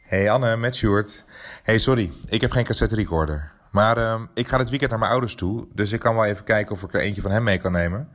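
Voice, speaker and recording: A considerate colleague in a podcast drama. His voice is a little low. He speaks casually and reassuringly, as if leaving a message. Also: fairly quick, a bit bright. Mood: apologetic, kind. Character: considerate, easygoing male newsroom colleague. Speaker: actor.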